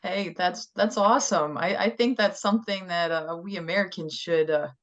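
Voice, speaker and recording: Woman interviewer, lowish voice, upbeat, friendly questions. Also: normal speed, dull audio. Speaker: interviewer host. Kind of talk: conference sports interview.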